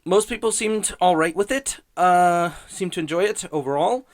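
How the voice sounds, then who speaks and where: A man's voice: high voice, moderate pace. Sardonic man rambling off the cuff. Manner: sardonic, profane, self-mocking. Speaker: podcast host. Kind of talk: casual improvised rambling to listeners.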